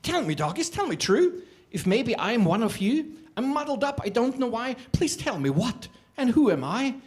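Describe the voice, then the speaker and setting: A man's voice: very animated, moderate pace. A man voicing a small nameless creature that is lost and keeps asking who it is. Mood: pleading. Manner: pleading, questioning, high and sing-song. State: sobbing at times.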